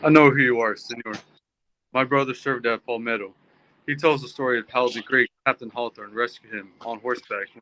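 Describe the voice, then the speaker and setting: A man's voice: mid-range voice, fairly even intonation, a little fast, pushed and bright, lightly acted, poor audio. A man acting a proud, direct Western gunslinger. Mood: confronting.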